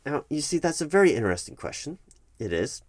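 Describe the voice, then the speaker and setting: A man performing a one-sided ASMR roleplay as a firm, bureaucratic HR manager, explaining things in a measured, officious way with halting emphasis. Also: fairly high pitch, sing-song, a little mellow, no echo.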